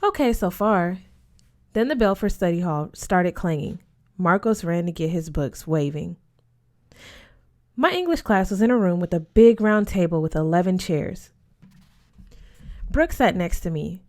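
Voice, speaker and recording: A woman's voice: fairly low pitch, animated, measured pace, pauses often, a little mellow. A woman narrating a first-person story as an audiobook reader.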